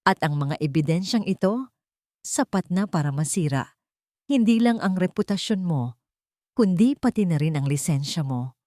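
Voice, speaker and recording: A woman voicing a composed, defiant young woman in an audio love drama, calm, cutting and deliberate. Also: on the low side, no echo, clean studio sound, natural voice. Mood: cold accusation.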